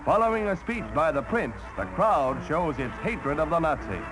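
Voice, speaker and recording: Male American newsreel commentator, 1940s. High, swooping delivery, punchy and theatrical, old soundtrack. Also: very animated, moderate pace, low-quality recording. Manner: punchy, colourful, theatrical announcing. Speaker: newsreel narrator. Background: loud music.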